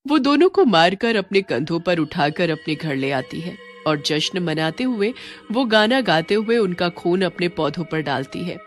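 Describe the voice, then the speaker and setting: A woman's voice: fairly low pitch, animated, normal speed, faint music behind. A woman reading a scripted horror story as its narrator.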